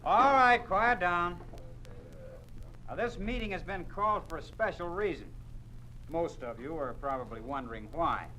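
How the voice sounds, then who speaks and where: An actor playing an officious, bullying party loyalist, pompous and barking, with an American accent in a 1950s radio drama. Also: male voice, high-pitched, normal speed, long pauses, pushed and bright. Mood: commanding. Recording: noisy old radio recording.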